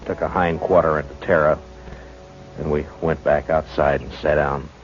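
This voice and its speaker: A terse, deep-voiced frontier marshal. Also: male voice, fairly even intonation, normal speed, soft background music. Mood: narrating. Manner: low-key, terse, dry. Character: steady, weary frontier marshal, grown man. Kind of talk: 1950s radio drama acting with first-person narration. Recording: dull 1950s radio broadcast, faint hiss.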